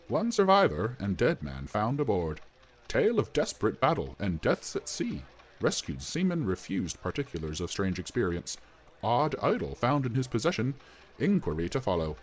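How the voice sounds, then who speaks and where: A man reading out an old newspaper report in a crisp, report-like manner. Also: medium pitch. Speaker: reader of a newspaper article. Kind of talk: scripted reading of a news report.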